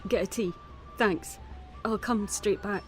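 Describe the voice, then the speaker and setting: A woman's voice: medium pitch, slow, close to an everyday voice. An actress playing a guarded, dry and troubled Scottish woman in a naturalistic audio drama, with music behind her. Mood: shaken. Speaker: actor.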